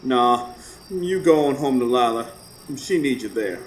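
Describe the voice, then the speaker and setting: A man's voice: medium pitch, lively intonation, a little slow, close to an everyday voice. An actor playing a rustic, kindly neighbor devoted to his old hound, with folksy colloquial talk, in a radio drama recorded live on stage. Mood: concerned. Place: stage before a live studio audience. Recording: roomy live stage recording, background noise.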